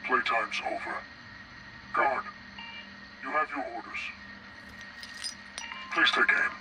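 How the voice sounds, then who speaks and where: An actor playing a cold, sadistic interrogator in a game audio drama, smug and controlling, with measured, taunting speech. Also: male voice, mid-range voice, noisy background, natural voice. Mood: coldly commanding. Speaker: villain character in a zombie game audio log.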